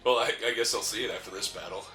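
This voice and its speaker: A man's voice: on the low side, rapid-fire, bright, forceful tone. A man co-hosting a gaming let's play, chatting casually with joking, teasing banter. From a city, with mountain family roots. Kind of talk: casual chat, game commentary. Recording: roomy echo, faint game music behind. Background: faint game music.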